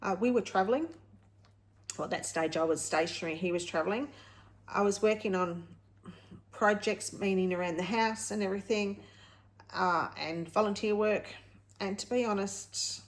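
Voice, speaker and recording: A woman at an ordinary, middle pitch. She chats informally to her viewers as a vlog host. Her delivery is candid and rambling, as she circles back to rephrase and correct herself. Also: moderate pace. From Australia. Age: late 50s. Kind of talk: casual personal vlog, chatting to viewers.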